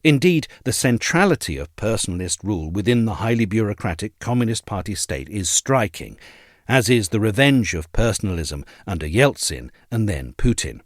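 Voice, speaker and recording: A man reading a scripted essay as narrator, in a measured, articulate, formal manner. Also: lowish voice, very animated, no echo, studio quality.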